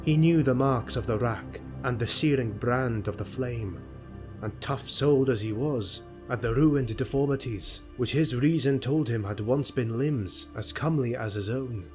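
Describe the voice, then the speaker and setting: A man narrating a grim sword-and-sorcery audio drama as storyteller, in a measured, theatrical, solemn delivery. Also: medium pitch, a little mellow, soft background music, close to an everyday voice. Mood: solemn suspense.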